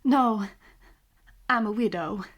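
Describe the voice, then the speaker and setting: An actress playing an anxious, doting 1940s widow in a noir audio drama, earnest and hesitant. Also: female voice, mid-range voice, slow pace, highs cut off. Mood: reserved, worried.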